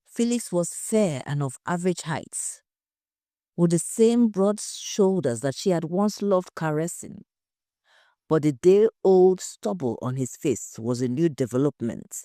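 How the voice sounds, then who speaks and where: A woman narrating a scripted story in a measured, dramatic way. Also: on the low side, dark tone, no echo, clean studio sound. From Nigeria.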